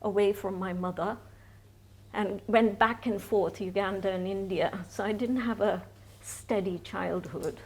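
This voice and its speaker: A woman's voice: medium pitch, fairly even intonation, a little slow, a little mellow, slightly rough. A woman in her sixties, a guest in a live stage interview, telling her life story in a chatty way with frequent restarts and laughter. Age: 60s.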